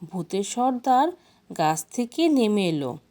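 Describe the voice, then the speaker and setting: A woman's voice: mid-range voice, very animated, slow pace, mellow. A woman storyteller narrating a folk tale expressively, as if reading from a storybook.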